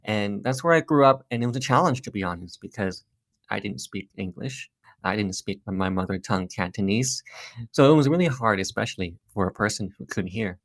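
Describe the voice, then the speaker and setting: A man's voice: fairly low pitch, animated, brisk, dry recording. A man in his thirties from Hong Kong, a guest telling his own story in an earnest, reflective way with some fillers and restarts. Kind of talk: personal storytelling interview.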